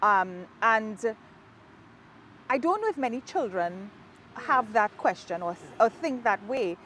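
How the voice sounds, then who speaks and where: A woman from Trinidad and Tobago with a Trinidadian accent, an author talking about her book in an outdoor interview in a park, reflective and quick to laugh. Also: mid-range voice, animated, a little hiss or hum, rough recording. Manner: warm, reflective, laughs easily. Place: outdoors in a park, rain falling.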